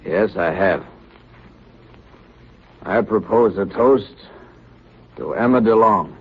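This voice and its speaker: Husky, wry shipmate. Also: male voice, lowish voice, slow, exaggerated voice. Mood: sincere. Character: joking shipmate, wry needler with a sentimental side. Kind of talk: 1930s radio acting. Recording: dull 1930s radio recording.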